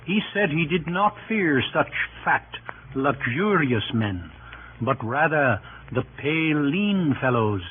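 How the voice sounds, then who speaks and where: A man's voice: fairly high pitch, sing-song, slow pace. A man narrating a scripted radio drama in a formal, chronicle-like manner. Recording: tinny, hissy old radio recording.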